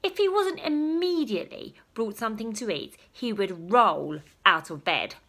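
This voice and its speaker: A woman reading a children's story aloud with dramatic, emphatic storytelling and drawn-out words. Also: on the high side, animated, a bit bright. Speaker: storybook reader. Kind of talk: expressive children's story narration.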